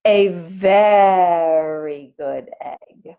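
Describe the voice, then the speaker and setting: A woman voicing an earnest, overburdened good egg who tells its own story in a children's picture book, with measured, dramatic phrasing. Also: fairly low pitch. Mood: weary, strained. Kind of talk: children's storybook narration in character. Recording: phone-like, narrow-band sound.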